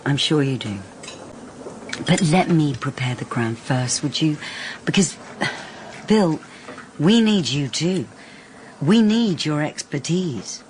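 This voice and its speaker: Radio drama: inquisitive lady private eye, British accent, lowish and very animated, pointed questioning, noticeable background noise. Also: slow pace, long pauses. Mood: businesslike. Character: brisk, direct, inquisitive female private detective.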